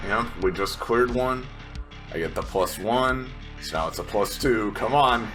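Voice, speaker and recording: A man's voice: on the low side, unhurried, music in the background. A man chatting casually as a player in a tabletop roleplaying game, talking through his rolls and attacks.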